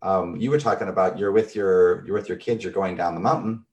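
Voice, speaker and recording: A man's voice: deep voice, brisk, muffled recording. A middle-aged man, a therapist, explaining concepts in a thoughtful, conversational way with everyday examples.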